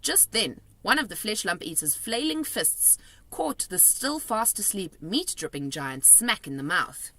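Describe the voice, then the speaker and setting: A woman reading a children's storybook aloud in an animated narrating style. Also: mid-range voice, unhurried. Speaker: storybook reader.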